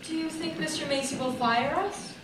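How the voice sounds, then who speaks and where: A woman's voice: high-pitched, normal speed, close to an everyday voice. A young woman playing a fussy, emphatic 1940s store supervisor in a radio-style stage drama. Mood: bewildered. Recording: echoey hall from far off, faint noise.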